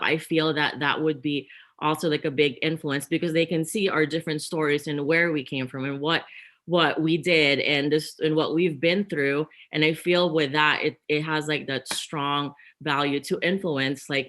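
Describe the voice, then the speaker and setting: Woman from the philippines, bright voice, guest author, lots of ums. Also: low-pitched. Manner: thoughtful, many fillers and restarts. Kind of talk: interview answer.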